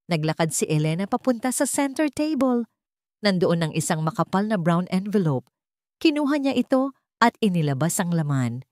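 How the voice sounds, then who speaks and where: A woman's voice: lowish voice, a little mellow, dry recording, studio quality. A woman giving dramatic scripted narration of an audiobook story.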